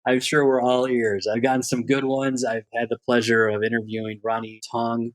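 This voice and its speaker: Man, podcast host, average pitch, interview presenter delivery. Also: flat delivery, normal speed. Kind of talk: scripted introduction, then conversational interview.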